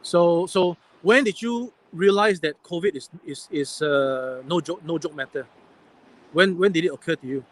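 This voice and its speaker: A man with a fairly high voice hosts a relaxed live stream conversation. He is inquisitive and chatty, steering his guests with questions. He talks in an easy, unscripted way. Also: moderate pace. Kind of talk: casual interview chat. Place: airport waiting area at night.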